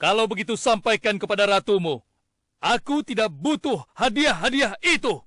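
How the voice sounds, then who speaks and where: A man playing a stern, dignified and devout prophet-king in an animated story, with solemn, declamatory, formal phrasing. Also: high voice, normal speed, no echo, clean studio sound. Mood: stern, proud. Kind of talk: dubbed animated religious story acting. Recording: loud music under the voice.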